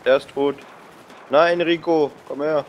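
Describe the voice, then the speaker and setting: A man's voice: on the high side, fairly even intonation, measured pace, muffled recording. A man chatting casually and in slang while playing a video game with a friend. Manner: colloquial, slangy. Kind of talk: casual gaming chat with a co-player. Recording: faint game noise behind voice. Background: video game sounds.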